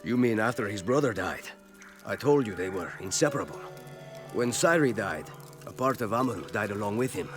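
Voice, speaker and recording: A man playing a kindly, reflective villager in a video game cutscene, recounting things with quiet concern. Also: lowish voice, expressive, fairly quick, natural voice. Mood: wistful. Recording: music behind game dialogue.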